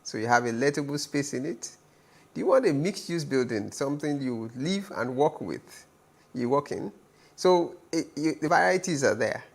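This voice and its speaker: Gravelly architect man explaining options. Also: medium pitch, expressive, moderate pace. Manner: explains through a string of questions. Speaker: architect guest. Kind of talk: expert explanation in interview. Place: TV studio.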